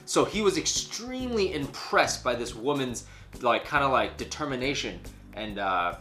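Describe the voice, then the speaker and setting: A man co-hosts a casual chat show with a bright, forceful voice. His pitch moves around a lot as he retells a story in slang and acts out what people said. Music plays faintly behind him. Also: moderate pace. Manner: animated, slangy, acts out imagined quotes. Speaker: co-host of a news chat show. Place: small furnished room indoors, on a couch.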